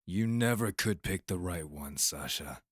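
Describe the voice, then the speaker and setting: An actor playing a scornful young monster hunter, a haughty estranged brother, in an audio roleplay drama with a sneering, deliberate delivery. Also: male voice, deep voice, lively intonation, slightly rough, no echo, clean studio sound, heavily acted. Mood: cold disgust.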